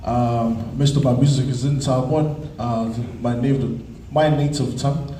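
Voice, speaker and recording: A man's voice: mid-range voice, fairly even intonation, measured pace, dark tone, slight background noise. A man, a singer, answering a press question in a hesitant way with many fillers. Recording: echoey room, distant mic.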